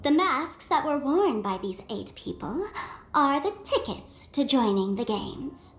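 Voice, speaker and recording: A woman's voice: high-pitched, big pitch swings, a little slow, roomy sound. A woman voicing a sly, teasing, theatrical young female trickster in an anime-style video game. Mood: theatrical storytelling.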